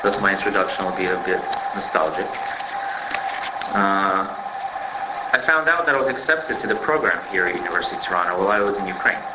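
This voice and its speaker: Deep-voiced man opening a lecture. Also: animated, brisk. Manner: friendly and conversational, with frequent fillers. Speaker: guest lecturer. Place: lecture room with wood panelling. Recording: echoey room recording with loud noise.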